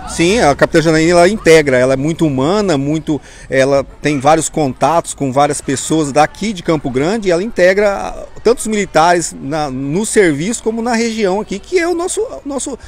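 A man in his forties with a Brazilian accent, a firefighter officer answering an interviewer haltingly, repeating words as he goes. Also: on the high side, expressive. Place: outdoors in front of a fire station. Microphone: small mic held at chest level by interviewer. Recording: outdoor street ambience noise.

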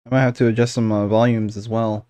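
A man's voice: fairly low pitch, flat delivery, quick pace, dark tone, smooth, no echo. Groggy man rambling over game music. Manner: rambling, many fillers and restarts. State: groggy, just woken up. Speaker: gaming channel host. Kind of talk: casual let's-play commentary.

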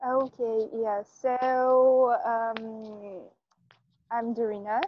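A young woman from Russia speaks slowly on a video call, pausing often on fillers. Her voice sits a little high, and the sound is dull, dry, lo-fi call audio from an earphone mic outdoors. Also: flat delivery, no room sound. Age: 20s. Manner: unhurried, hesitant with many fillers. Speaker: volunteer teacher. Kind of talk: casual video call chat. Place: outdoors among trees.

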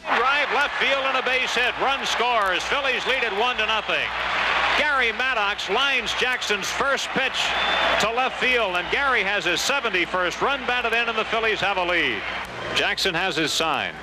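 A man's voice: sits high, expressive, moderate pace. An American man calling live baseball play-by-play on the radio. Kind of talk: live radio sports commentary. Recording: very noisy stadium radio broadcast.

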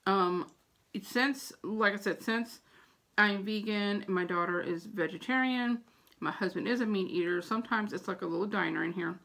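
Creaky-voiced American woman, chatty and informal. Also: medium pitch, moderate pace, fairly bright tone. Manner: chatty, informal, repeats points for emphasis. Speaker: vlogger giving household tips. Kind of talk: casual advice vlog to camera. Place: small room at home, indoors. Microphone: phone or tablet camera at about arm's length.

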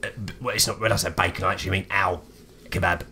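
A British man with a fairly low, bright and cutting voice plays a tabletop game. He banters casually in character, with faint noise on the recording. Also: steady tone, fast, soft background music. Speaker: player in a tabletop role-playing game.

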